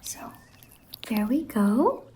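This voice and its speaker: A soft-spoken woman doing an ASMR roleplay as a salon worker, soothing and reassuring, often slipping into a whisper. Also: a little high, animated, drawn-out words, slightly rough.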